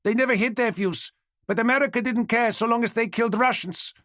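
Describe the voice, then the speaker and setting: Man reading as a shrill young woman agitator in an audiobook, high and loud, defiant. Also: a little fast, no echo, clean studio sound. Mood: accusing. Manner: shrill, yelling accusations.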